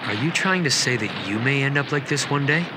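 An actor playing a wary, serious young warrior with a husky voice in an anime video game. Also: male voice, mid-range voice, expressive, fairly quick, heavy background noise, lightly acted. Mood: suspicious.

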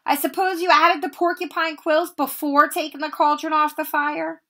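A woman's voice: high-pitched, little pitch movement, moderate pace, sharp tone, voice pushed up. A woman reading aloud and voicing a cold, sneering male teacher who snaps at his pupils. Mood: snarling. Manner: snapping, sneering, harsh.

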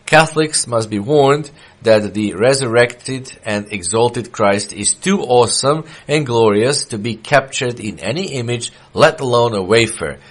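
A middle-aged man whose first language is Serbian, giving a measured sermon with frequent pauses and fillers, quoting scripture in a small church. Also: mid-range voice. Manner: measured, hesitant with many fillers. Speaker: preacher. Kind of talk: sermon reading and explaining quotes. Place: small church with wooden wall.

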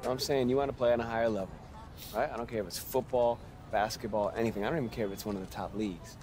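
An actor playing a demanding but supportive American basketball mentor in a video game, pushing with rhetorical questions. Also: male voice, medium pitch, steady tone, rapid-fire, music in the background, lightly acted. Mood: challenging. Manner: motivational, punctuated with rhetorical questions. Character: tough-love male basketball mentor, demanding but believing. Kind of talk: naturalistic video game cutscene acting. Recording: clear outdoor ambient noise.

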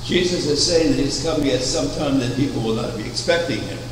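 A man's voice: medium pitch, lively intonation. Older man, sermon at a pulpit, echoey chapel, recorded from far off, air conditioning hum. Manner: measured, anecdotal, with rhetorical questions. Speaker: preacher, founder of a religious order. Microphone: far camera.

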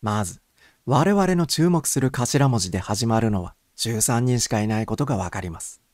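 A methodical gentleman sleuth, played by a male narrator, with a mid-pitched voice in a dry recording. He is polite and orderly, explaining step by step in a storybook mystery. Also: animated, normal speed, no echo, lightly acted. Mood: reasoning, confident. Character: methodical gentleman playing amateur detective.

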